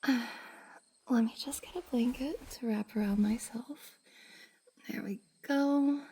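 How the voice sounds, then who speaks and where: A woman playing a shy, bubbly young cosplayer and affectionate best friend in an intimate roleplay ASMR, soft, hesitant and giggly. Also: on the high side, takes it slowly. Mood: embarrassed, relieved. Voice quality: soft, breathy, slightly husky. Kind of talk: F4M roleplay ASMR, intimate one-sided conversation.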